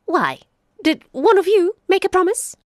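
A woman voicing a sly male jackal trickster with a fawning, chuckling, quick chatter in a storybook. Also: very high-pitched, sing-song, no echo, clean studio sound, pitched above the performer's own voice. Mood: sly flattering.

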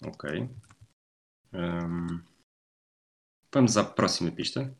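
Deep-voiced man with a European Portuguese accent. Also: expressive, very slow. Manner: thinks aloud, counting through years. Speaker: quiz contestant. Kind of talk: casual podcast quiz chat.

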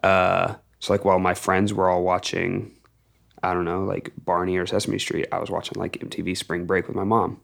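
A man's voice: low voice, level tone, normal speed, creaky. A young American man from Texas, telling stories casually and informally as a musician guest, rambling and stressing key words. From Texas, United States. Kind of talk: casual storytelling in an interview.

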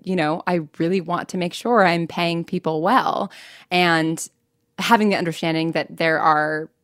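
A woman's voice: low-pitched, no room sound, clean studio sound. A woman talking casually and candidly as a podcast creator being interviewed, often quoting imagined remarks.

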